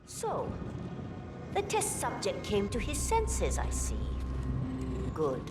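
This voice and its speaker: A woman's voice: mid-range voice, huge pitch swings, slow pace, over loud music. An actress playing a cold, ruthless female overseer in a video game cinematic, insistent and pitiless. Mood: cool.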